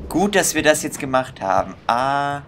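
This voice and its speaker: A man's voice: on the high side, very animated, normal speed, noisy background. A young man thinking aloud in casual, exasperated commentary as he plays a video game. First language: German. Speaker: gaming video host. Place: indoor room at a computer. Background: game music.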